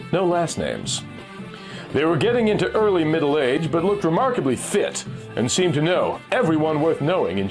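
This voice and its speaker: A man narrating a pulp adventure story from a script, as a storyteller reading aloud. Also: a little high. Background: music.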